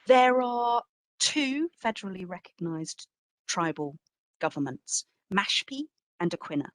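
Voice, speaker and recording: A woman's voice: medium pitch, sing-song, a little slow, no echo. A woman curator giving an explanatory, storytelling answer in an online talk, with emphatic phrasing. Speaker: exhibition curator, guest speaker.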